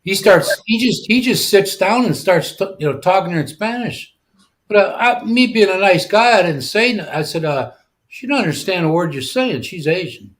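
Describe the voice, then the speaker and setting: An older man with a fairly high voice tells casual, offhand stories. He trails off with fillers as he goes. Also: animated, moderate pace, slight background noise. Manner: storytelling, offhand. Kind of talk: casual anecdote.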